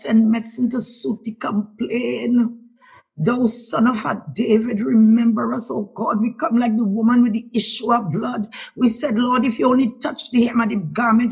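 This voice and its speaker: A woman's voice: a little high, creaky, breathy edge. A woman from Trinidad with a Trinidadian accent, leading a fervent spoken prayer that keeps returning to "Lord" as she pleads. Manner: fervent and pleading, with "Lord" repeated in a steady rhythm. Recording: dull lo-fi recording.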